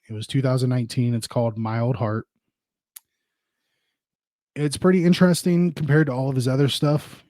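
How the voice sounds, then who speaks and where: A man's voice: mid-range voice, dark tone, dry recording. A man hosting a podcast solo, rambling casually and informally with plenty of swearing. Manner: profane, informal. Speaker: podcast host.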